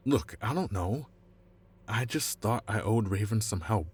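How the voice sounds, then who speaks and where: Man playing a low, husky, anxious corporate lawyer. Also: expressive, unhurried. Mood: nervous, pleading. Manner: pleading, explanatory. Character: anxious, self-preserving male corporate lawyer turned informant. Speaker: voice actor. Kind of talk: cinematic cyberpunk audio roleplay acting.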